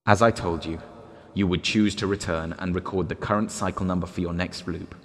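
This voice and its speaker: An actor playing a disembodied recorded guide in a one-act drama, speaking in a measured, deliberate, persuasive way. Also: male voice, low voice, steady tone, natural voice. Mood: calm, formal. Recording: long hall reverb, loud music behind.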